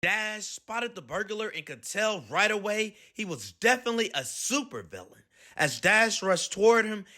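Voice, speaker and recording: A man narrates a children's story in a high, bright voice. The reading is expressive, with animated rises and falls. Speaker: storybook reader.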